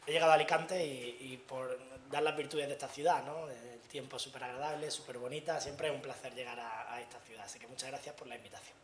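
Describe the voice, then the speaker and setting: A man's voice: medium pitch, fairly even intonation, fast talker, hardly any pauses, roomy sound, a little hiss or hum. Man from Granada giving a chatty talk. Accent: Andalusian Spanish. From Granada, Spain. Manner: chatty, asks the audience questions, frequent tag questions. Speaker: invited speaker. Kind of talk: conference talk to students. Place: university lecture hall.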